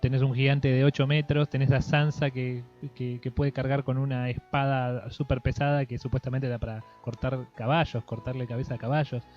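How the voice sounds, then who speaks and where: An Argentine man hosts a casual interview in a reflective, halting way. His voice comes through a dull, lo-fi recording. Faint music plays behind him. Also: medium pitch, flat delivery. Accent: Argentine Spanish. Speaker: interviewer, host.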